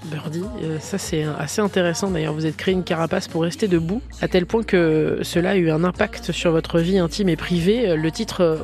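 A woman's voice: fairly low pitch, darkish tone. A woman hosting a radio interview, asking long questions with frequent hesitations.

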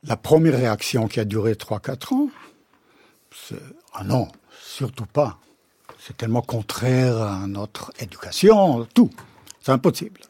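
A man's voice: measured pace, slightly rough. A middle-aged man, a philosopher and non-native speaker of the language, giving an ironic, emphatic explanation in a radio studio interview. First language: not French. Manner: expressive, ironic, emphatic with sudden pitch jumps. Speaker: philosopher guest.

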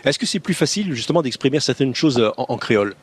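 A man's voice: medium pitch, expressive, fast, husky. A male radio host interviewing musicians, asking admiring, enthusiastic questions.

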